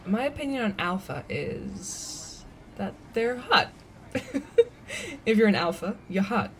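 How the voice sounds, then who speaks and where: An actress playing a bubbly, teasing young coffee-shop worker in an audio drama, casual and playfully mocking, with faint room ambience. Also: female voice, medium pitch, very animated, slow, fairly bright tone, a little hiss or hum, full character voice. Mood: teasing, gushing.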